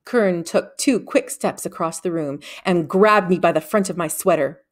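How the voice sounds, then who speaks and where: A woman reading a storybook aloud in expressive narration. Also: lowish voice, normal speed. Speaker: audiobook reader.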